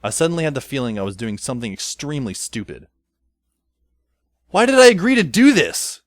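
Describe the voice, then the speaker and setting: A man's voice: mid-range voice, huge pitch swings, fairly quick, dry recording. A man playing an exasperated, sardonic teenage schoolboy who narrates an audio drama in a wry, self-questioning, conversational way. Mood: alarmed. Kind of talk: first-person audio drama narration and dialogue. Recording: music behind the voice. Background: background music.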